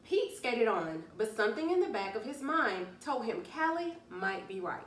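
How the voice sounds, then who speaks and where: Animated woman reading aloud to young children. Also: on the high side. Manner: deliberate, emphatic, drawn-out key words. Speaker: children's story-time teacher. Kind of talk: expressive read-aloud narration for young children. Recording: roomy, distant mic, faint noise.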